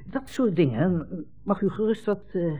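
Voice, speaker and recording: A man's voice: high-pitched, expressive, moderate pace, warm tone. An actor playing a smug, insinuating army captain in a 1960s radio play, hemming as he puts condescending, leading questions. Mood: persuasive. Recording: muffled old radio recording, narrow band.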